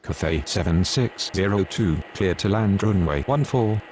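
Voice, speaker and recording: Man acting as low-voiced formal airport radio operator. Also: lively intonation, a little slow, a little mellow, slight background noise. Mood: businesslike. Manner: formal, procedural. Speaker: air traffic radio voice. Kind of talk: scripted aviation radio phraseology.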